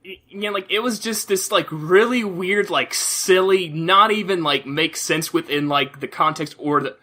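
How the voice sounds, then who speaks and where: A man chatting casually about video games as a podcast co-host, haltingly, often repeating and restarting his phrases. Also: high voice, sharp tone.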